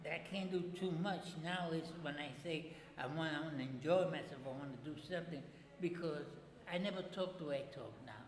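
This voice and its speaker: Halting, high-pitched older man, echoey room. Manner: halting, repeats words, non-standard grammar. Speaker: interview guest. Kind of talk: casual personal storytelling. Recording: echoey reverberant room with background noise.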